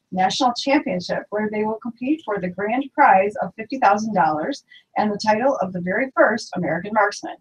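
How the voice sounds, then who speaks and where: A woman co-hosting a talk radio show, reading event and class announcements in a steady, matter-of-fact way. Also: mid-range voice, rough recording.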